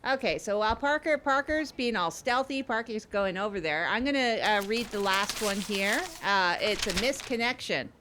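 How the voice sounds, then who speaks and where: A woman's voice: medium pitch, expressive, moderate pace, few pauses, background noise, lightly acted. A woman playing an agreeable, hesitant small-town podcast host who rambles and repeats reassurances in improvised comedy chat. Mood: rambling, concerned.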